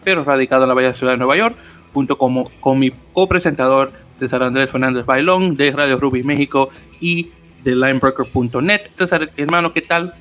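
A Dominican man hosting a podcast interview, speaking formally and welcoming guests with some halting restarts. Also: medium pitch, fairly bright tone. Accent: Dominican Spanish. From Dominican Republic. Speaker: podcast host.

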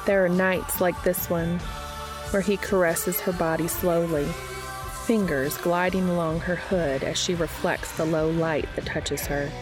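A woman's voice: on the low side, steady tone, measured pace, music in the background, low-quality recording. A woman reading scripted fiction as a podcast host and narrator.

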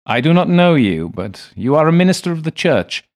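A man's voice: on the high side, sing-song, normal speed, voice pushed up. A man voicing the spirit of an old man in hell, who speaks archaic English in an earnest, beseeching way. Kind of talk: audiobook character voice.

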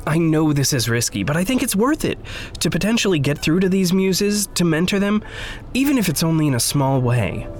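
An actor playing an earnest, stubborn idealist in an audio drama, speaking in precise, formal, halting phrases. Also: male voice, on the high side, sing-song, faint music behind. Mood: earnest, hopeful. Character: earnest, stubborn idealistic man going undercover at a company. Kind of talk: naturalistic audio drama acting.

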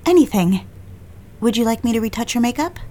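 A woman's voice: on the high side, animated, fairly quick, warm tone, close to an everyday voice. An actress playing a genteel, eager young society woman in an audio drama, speaking and narrating with proper, polite diction. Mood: admiring, eager. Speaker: lead character and narrator. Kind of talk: audio drama acting with first-person narration.

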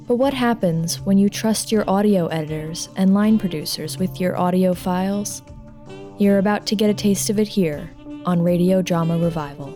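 A woman's voice: on the low side, moderate pace, warm tone. A woman reading a scripted podcast introduction as the show's line producer. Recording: voice over music. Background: music.

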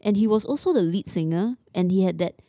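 A woman's voice: mid-range voice, expressive, fairly quick, warm tone, dry recording, clean studio sound. A woman with a Singaporean accent co-hosting a podcast in casual chat, mixing English with Mandarin. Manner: code-switches between English and Mandarin. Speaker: podcast co-host.

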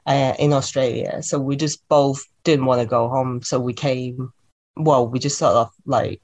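A woman's voice: extremely low pitch, fairly even intonation, unhurried, darkish tone. A woman in her thirties from Hong Kong with a Hong Kong accent, a chatty podcast guest who talks with many fillers and restarts. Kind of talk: podcast interview.

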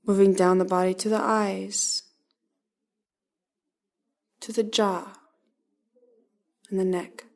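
A woman leading a guided body-scan meditation, giving calm, gentle instructions in short, spaced phrases. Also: medium pitch, slow, long pauses.

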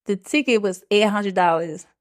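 A woman's voice: medium pitch, moderate pace. Laid-back woman. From Switzerland. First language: French. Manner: laid-back, short replies, frequent mm-hmm. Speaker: guest. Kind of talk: casual chat.

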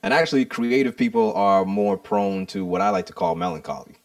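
A man's voice: deep voice, fairly quick, no room sound, far from the mic. A man guesting on a podcast, talking reflectively and explaining himself with metaphors, often checking in with "right?". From United States. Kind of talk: casual interview conversation.

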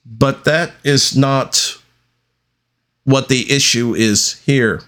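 A man hosting a podcast alone, talking off the cuff in a deliberate, halting way with long pauses between phrases. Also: medium pitch, fairly bright tone. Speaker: podcast host. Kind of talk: unscripted solo podcast monologue.

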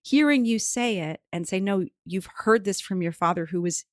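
A woman hosting a folklore podcast, interviewing her guest in an enthusiastic, thinking-aloud way that often climbs in pitch for emphasis. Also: moderate pace, dry recording, clean studio sound. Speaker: podcast host. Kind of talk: conversational interview.

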